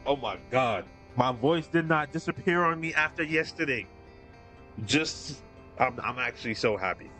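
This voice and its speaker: A male streamer chatting loosely with his audience, looping back and restarting his sentences as he goes. His voice is bright and forceful, with faint game music running under it. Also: medium pitch, lively intonation, moderate pace. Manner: rambling, restarts sentences, talks to chat. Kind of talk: casual live-stream chat.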